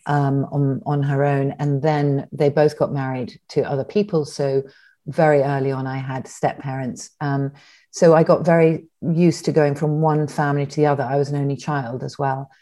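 A woman speaks as an interview guest. Her voice sits low and sounds warm and mellow. She pauses often, restarts her sentences and fills gaps with ums as she thinks back. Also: flat delivery. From England. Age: 60s. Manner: reflective, with many restarts and ums. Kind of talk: conversational interview.

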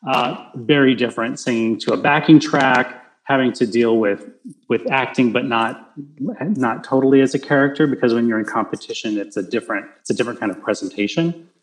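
A man with a naturally loud voice answering questions in a casual online interview. He talks in halting bursts, restarting phrases and filling pauses as he goes. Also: mid-range voice, rough recording. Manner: halting, full of restarts and fillers. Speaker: interview guest. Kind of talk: casual video-call interview.

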